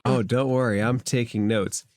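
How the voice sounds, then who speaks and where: A man's voice: medium pitch, steady tone, normal speed, darkish tone, no room sound. A man acting as game master, improvising narration for a tabletop roleplaying game.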